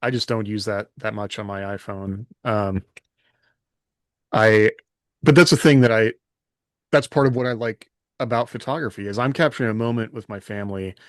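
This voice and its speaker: Male podcast co-host, fairly low voice, casual conversation, very dry room sound. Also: normal speed, no echo.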